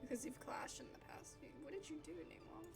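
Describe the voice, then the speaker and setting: A woman's voice: extremely high pitch, fairly quick, vocal fry. A woman playing through a game's story, reading lines and commenting casually as she goes. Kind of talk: casual game playthrough commentary. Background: game music.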